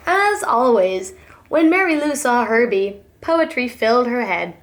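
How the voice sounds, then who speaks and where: A woman preschool teacher reading a picture book aloud to young children in an animated, dramatic storytelling manner. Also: a little high, unhurried.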